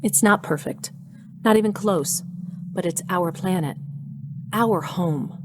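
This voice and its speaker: A woman's voice: on the low side, takes it slowly, noisy background. A woman playing an earnest heroine who narrates and acts in a first-person audio drama. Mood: firm, pleading. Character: earnest human heroine narrating her story.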